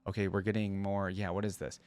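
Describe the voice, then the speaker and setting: A man's voice: low-pitched, little pitch movement, fairly quick, a little mellow, clear, resonant tone, dry recording. A man hosting a reaction video, reading lore aloud and commenting in a conversational, explanatory way.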